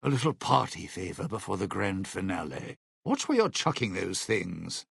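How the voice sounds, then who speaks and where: An actor playing a sly, world-weary lounge singer in a video game, talking in wry showman's patter and dated slang with a gravelly, husky voice. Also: low-pitched, sing-song, moderate pace, fairly bright tone, heavily acted. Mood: irritated, sardonic. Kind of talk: video game companion voice lines.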